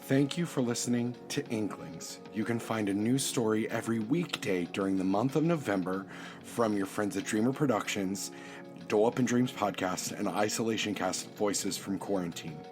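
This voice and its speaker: Low-voiced man announcing over music. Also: normal speed. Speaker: podcast announcer. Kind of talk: scripted podcast intro and credits. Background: prominent music.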